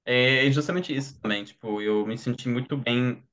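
Podcast guest, young German man, learned Portuguese, accented, searches for words, steady mid voice. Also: mid-range voice, moderate pace, muffled recording. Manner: searches for words, many "tipo" fillers. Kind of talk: casual conversation.

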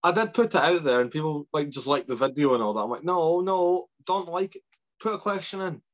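Scottish man, slightly high pitch, casual interviewer, self-deprecating, informal. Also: animated, fairly quick. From Scotland. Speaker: podcast host interviewing athletes.

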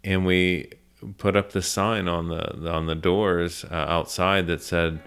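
A middle-aged American man with a low, deep voice talks earnestly in an interview. He tells his story conversationally and reflectively, repeating phrases to stress a point. Soft music plays underneath. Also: flat delivery, slow pace, clear, resonant tone. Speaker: small business owner being interviewed.

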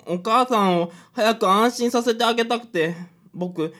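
Bumbling junior sleuth, female actor: anxious, apologetic young mama's boy, rather high-pitched, for radio drama. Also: measured pace, fairly bright tone. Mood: flustered, anxious. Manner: timid, apologetic, flustered.

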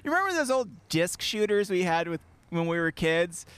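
A man's voice: high-pitched, big pitch swings, normal speed, a bit bright, clean tone. A young man co-hosting a podcast, playful and jokey, chatting casually and swooping his voice up for effect. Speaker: podcast co-host. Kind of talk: casual banter. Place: outdoors.

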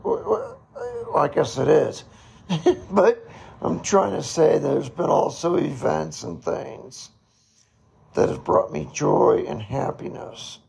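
Hoarse, gravelly man talking slowly. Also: a little high, a little mellow. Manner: slow, halting, pausing to reflect. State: hoarse, raspy voice. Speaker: podcast host. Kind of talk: solo reflective podcast monologue.

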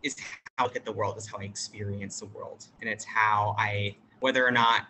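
A non-binary American teacher at an international school, speaking in a relaxed interview over a video call. They talk thoughtfully and hesitantly, with many fillers and restarts. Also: medium pitch, a little fast, a little hiss or hum, poor audio. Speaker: workshop facilitator.

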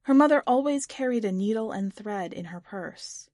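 A woman's voice: mid-range voice, expressive, normal speed, a little mellow, no room sound. A woman reading literary fiction aloud as a scripted audiobook narration. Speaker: podcast editor and story narrator.

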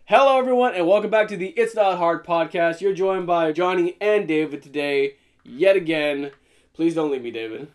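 A man's voice: on the high side, normal speed. Stammering, joking young male podcast host. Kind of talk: casual banter.

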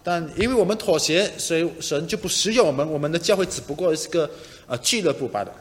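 A man interpreting a sermon live, speaking in brisk, short bursts that follow a preacher. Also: fairly high pitch. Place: large reverberant room, interpreting live to a congregation. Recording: reverberant hall sound.

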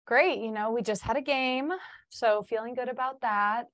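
A woman's voice: fairly high pitch, moderate pace, a bit bright, no room sound, clean studio sound. A woman in her early twenties from Chicago, chatting informally and upbeat as an interview guest. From Chicago, United States. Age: early 20s. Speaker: podcast guest, student teacher in training. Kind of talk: casual interview chat.

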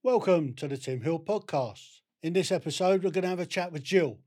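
A man's voice: on the high side, a little fast, loud music behind the voice. A male podcast host who reads a scripted introduction and then asks friendly, brief questions, with an occasional light joke.

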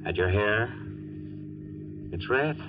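Deep-voiced menacing killer, vintage radio. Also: male voice, animated, slow. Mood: coolly offhand. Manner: clipped, offhand tough-guy menace. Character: menacing male killer driver, coolly casual. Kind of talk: 1940s radio thriller acting. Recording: muffled old radio broadcast, faint noise.

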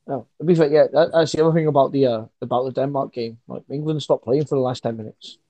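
A young man co-hosting a podcast, talking in excitable, sweary banter with frequent quick restarts. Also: mid-range voice, dry recording. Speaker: podcast co-host.

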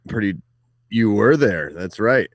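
A man's voice: fairly low pitch, expressive, a little slow, no echo. A man co-hosting a casual sports podcast, talking in an easygoing, chatty way with frequent fillers. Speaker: podcast co-host.

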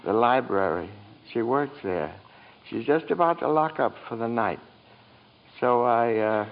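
A man's voice: medium pitch, animated, unhurried. A man playing a kindly, fretful, bumbling apprentice angel in an old radio drama, earnest and apologetic. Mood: hesitant, reluctant. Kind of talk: 1940s radio acting. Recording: muffled old radio broadcast, hall reverb.

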